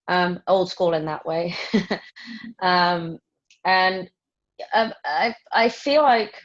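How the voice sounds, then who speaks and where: A woman in her thirties from Finland, answering interview questions casually, with many pauses, ums and restarts. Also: on the low side, dull audio. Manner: hesitant, many ums and restarts, laughs often. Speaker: fitness trainer interviewee.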